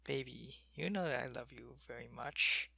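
A man voicing a sick male alien changeling soldier, loyal to his queen, who talks in a soft, weary ASMR whisper. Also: medium pitch, normal speed, creaky, slightly rough, no echo, exaggerated voice. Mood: weary, ill. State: sick and weak, in pain. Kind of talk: ASMR roleplay.

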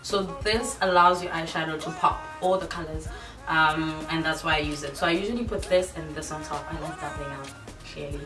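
A hoarse young woman from South Africa, casually chatting through a makeup tutorial with self-mocking asides. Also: low-pitched, brisk. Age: young adult. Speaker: beauty vlogger. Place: bright room indoors. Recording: echoey room, mic far off, music behind.

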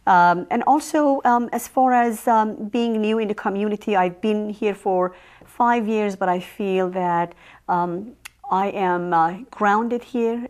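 Woman, Persian accent, mid-pitched, measured and hesitant, with frequent filler words. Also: a little mellow. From Iran. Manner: measured, many ums and uhs. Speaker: village trustee candidate. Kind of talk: local cable interview.

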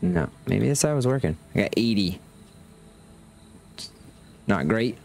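A man's voice: on the low side, big pitch swings, measured pace, creaky, darkish tone, slight background noise. A man casually commenting on his factory-building game as he plays, thinking aloud as he checks production. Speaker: gaming channel host.

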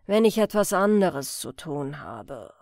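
A woman voicing a weary, calm male chief inspector in an audiobook, speaking in a dry, laconic manner. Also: sits low, slow pace, no room sound, voice pushed down, full character voice. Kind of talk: audiobook character voice.

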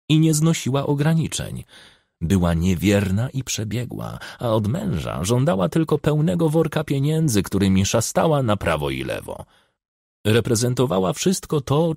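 A man's voice: mid-range voice, vocal fry, darkish tone, dry recording, studio quality. A man reading a crime novel aloud as a scripted audiobook narration.

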